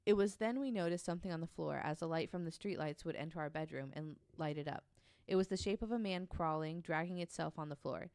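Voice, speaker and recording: A woman's voice: low voice, fast, a little mellow, no echo, clean studio sound. A woman telling a true story casually on a podcast, reading quotes aloud in an informal, hesitant way.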